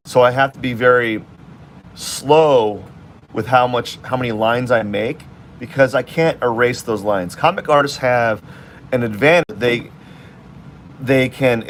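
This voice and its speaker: A man's voice: mid-range voice, slight background noise. A man streaming live as he works on a drawing, thinking aloud in a rambling, casual way with many restarts. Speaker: artist streaming while drawing.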